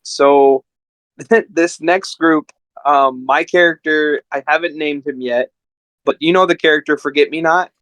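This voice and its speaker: A man's voice: fairly high pitch, steady tone, measured pace, a bit bright, no room sound. A man chatting casually as a podcast guest, telling stories in a rambling, enthusiastic way. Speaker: podcast guest and amateur voice actor. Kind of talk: casual chat.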